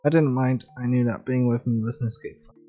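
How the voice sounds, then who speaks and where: A woman's voice: a bass voice, steady tone, fast, dark tone, muffled recording, soft background music. A woman live-streaming, reading visual novel narration aloud and reacting casually. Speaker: game streamer.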